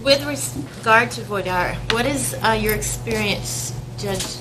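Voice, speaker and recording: A woman's voice: mid-range voice, takes it slowly, noisy background. A woman attorney asking a hesitant question from the audience. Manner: hesitant, many fillers.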